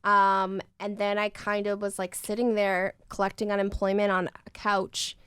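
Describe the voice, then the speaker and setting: A thirty-year-old woman telling personal stories in casual conversation as a podcast guest, animated, acting out quotes and swearing freely. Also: medium pitch, moderate pace, clean tone, dry recording, clean studio sound. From United States. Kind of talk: casual chat, personal storytelling.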